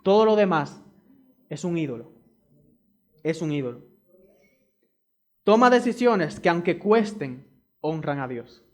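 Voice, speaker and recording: Emphatic high-voiced preacher. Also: male voice, very animated, measured pace, pauses often. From Dominican Republic. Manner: emphatic, repeats key phrases; addresses the congregation directly. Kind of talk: sermon.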